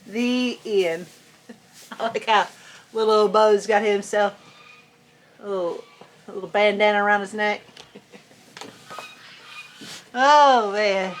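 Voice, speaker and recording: A woman's voice: mid-range voice, takes it slowly, pauses often, a little hiss or hum. A woman reading a storybook aloud in a folksy, playful way, with chatty asides.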